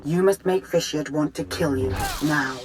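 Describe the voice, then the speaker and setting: A woman playing a composed, calculating Sith adviser in a video game, speaking with measured command. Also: low-pitched, noisy background, close to an everyday voice. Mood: urgent resolve.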